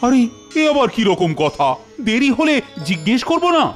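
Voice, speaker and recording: A man playing an authoritative, easily exasperated cartoon king with a commanding, expressive manner. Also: extremely high pitch, normal speed, soft background music. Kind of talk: Bengali cartoon voice acting.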